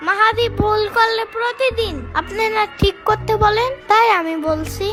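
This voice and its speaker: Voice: very high voice, moderate pace. A performer voicing a cheeky little cartoon kindergarten boy who talks back, in a childlike way with a Bangladeshi accent. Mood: cheeky, whining. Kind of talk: comic cartoon dialogue. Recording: loud music behind the voice.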